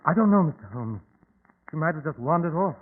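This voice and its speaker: Worried polite gentleman, bit formal and hesitant, raspy voice, tinny old radio drama. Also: fairly high pitch, animated, a little fast. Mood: guarded, hesitant. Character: polite, anxious gentleman, heir at a manor.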